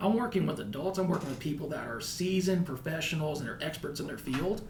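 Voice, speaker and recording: A man's voice: on the high side, expressive, quick pace. An American man in his thirties talking thoughtfully in a podcast interview as a school principal, often rephrasing as he goes. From United States. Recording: echoey room with faint background noise.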